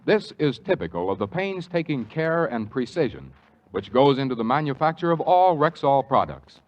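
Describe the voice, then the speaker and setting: A man reading a scripted radio commercial in a smooth, earnest, measured announcer manner. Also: mid-range voice, sing-song. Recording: dull old radio broadcast.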